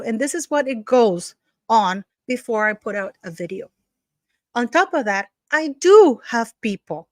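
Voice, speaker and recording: A woman's voice: fairly high pitch, dry recording, studio quality. A woman with a non-native English accent gives a scornful, gossipy commentary at home, sometimes swearing. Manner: scornful, gossipy, emphatic, drops curse words. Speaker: YouTube commentator. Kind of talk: casual ranting commentary.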